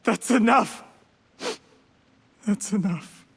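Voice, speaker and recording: A man's voice: sits high, very slow, creaky, exaggerated voice. A man playing an ambitious, self-justifying official in a video game, formal and earnest in his speech. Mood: agitated. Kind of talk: dramatic video game voice acting. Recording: long hall reverb.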